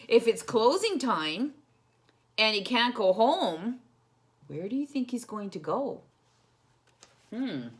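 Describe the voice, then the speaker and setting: A woman hosting a children's storytime, reading a picture book expressively and putting simple questions and explanations to young listeners. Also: fairly low pitch.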